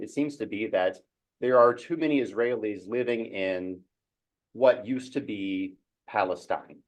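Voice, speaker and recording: A man leading a church study group, explaining in casual, halting speech with many ums and restarts. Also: mid-range voice, darkish tone, low-quality recording. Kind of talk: casual teaching talk.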